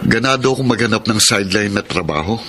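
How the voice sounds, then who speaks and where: A man's voice: lowish voice, animated, quick pace, background noise, close to an everyday voice. Man as an evasive, defensive husband. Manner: defensive, dismissive. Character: evasive, secretive boyfriend and husband. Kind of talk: classic radio drama acting.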